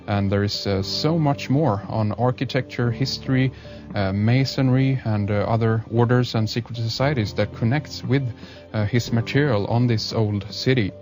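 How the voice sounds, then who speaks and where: A man hosting a radio show, introducing and interviewing a guest in a slow, hesitant way with many uhs. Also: mid-range voice. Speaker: radio host. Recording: music bed behind voice.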